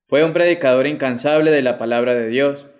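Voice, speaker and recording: A young man reading a prepared text aloud at Mass in a formal, measured manner, at a lectern microphone. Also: mid-range voice, darkish tone. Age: young adult. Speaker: liturgical reader at Mass. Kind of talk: scripted reading. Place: small indoor chapel. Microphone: microphone on lectern stand.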